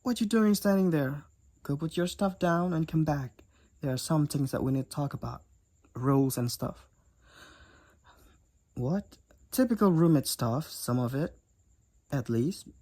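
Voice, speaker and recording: A woman's voice: deep voice, expressive, unhurried, long pauses, dark tone. A woman playing a cocky, teasing demoness college roommate and gamer in a roleplay audio drama, with a wry, theatrical delivery. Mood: bossy, impatient. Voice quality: raspy, creaky. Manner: teasing, wry, theatrical, addresses the listener as Sugar.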